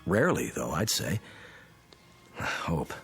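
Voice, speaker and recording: An actor playing an analytical scientist friend in a radio drama, explaining strange phenomena in a deliberate, instructing manner. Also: male voice, extremely low pitch, sing-song, a bit bright, soft background music, exaggerated voice. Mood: musing, methodical. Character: calm analytical male physicist friend explaining a mystery. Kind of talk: modern radio drama acting.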